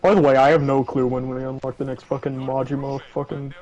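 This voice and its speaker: A man with a medium-pitched voice comes through slightly dull voice chat audio. He talks casually while gaming. He is blunt and teasing, and he curses often. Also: flat delivery, fast, a little mellow. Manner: blunt, foul-mouthed, teasing. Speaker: gamer in a co-op session. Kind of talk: casual chat while playing an online game.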